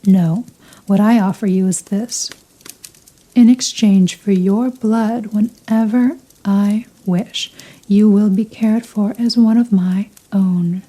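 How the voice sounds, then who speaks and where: A woman playing a possessive, seductive female vampire in an ASMR roleplay, speaking in a teasing, formal, softly commanding manner with breathy murmurs. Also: medium pitch, steady tone, takes it slowly, rough recording. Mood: coaxing, menacing. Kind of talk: ASMR roleplay, scripted intimate monologue.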